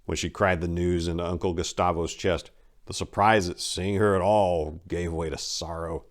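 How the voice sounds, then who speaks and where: Deep-voiced man narrating dramatically. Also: steady tone, moderate pace. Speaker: host and narrator. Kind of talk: dramatic scripted story narration.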